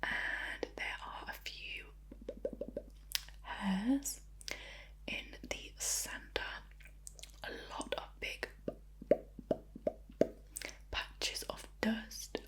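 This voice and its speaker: Slow whispering woman, ASMR roleplay. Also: on the high side. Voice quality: breathy whisper. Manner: mock-scolding, fussy, deliberate. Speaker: ASMR creator playing a screen cleaner.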